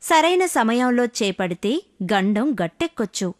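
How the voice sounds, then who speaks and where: A woman presenting scripted lessons for children in an instructive, deliberate manner, with plucked guitar behind her. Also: fairly high pitch, very animated. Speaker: quiz and moral presenter. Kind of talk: scripted children's educational narration.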